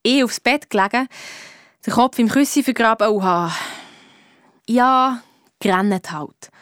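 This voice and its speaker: A cheeky, stubborn schoolgirl sleuth with a high voice and a Swiss accent. She talks colloquially, as in a children's detective radio play, recorded close and dry. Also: a little slow, clear, resonant tone, no room sound. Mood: frustrated. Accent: Swiss German. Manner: animated, colloquial storytelling. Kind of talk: German audio drama narration and dialogue.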